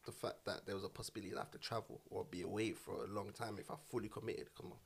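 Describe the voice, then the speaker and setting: A man speaks casually among a group. His delivery is rapid, his pitch a little low, and he uses slang as he makes sure people follow what he means. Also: steady tone, gravelly, a little hiss or hum. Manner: slangy, checks listeners follow.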